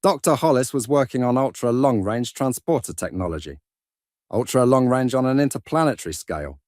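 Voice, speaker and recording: A man playing a measured religious brother who explains a mystery in a precise, formal way in a sci-fi audio drama. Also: mid-range voice, expressive, darkish tone, dry recording, clean studio sound, close to an everyday voice. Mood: composed.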